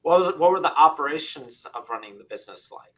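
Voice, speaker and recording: Male co-host, 30s, bright forceful voice, animated casual panel talk. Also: a little high, fast, rough recording. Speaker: podcast co-host.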